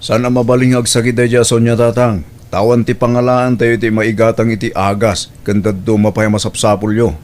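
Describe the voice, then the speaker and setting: A man's voice: on the low side, level tone, a little fast, darkish tone, lightly acted. A man acting as an evasive, freeloading older brother in an audio drama, talking casually in an Ilocano accent.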